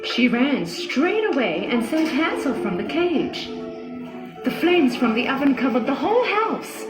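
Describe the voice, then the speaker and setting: High, animated woman storyteller. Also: unhurried. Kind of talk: scripted fairy tale narration for children. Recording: echoey, music under the voice.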